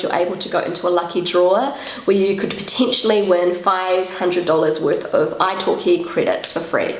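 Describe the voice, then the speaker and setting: Chatty New Zealand woman vlogger, thirties, croaky fry, a bit breathless, recorded in a very echoey room. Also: medium pitch. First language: English. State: a little out of breath. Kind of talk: casual vlog talk to camera.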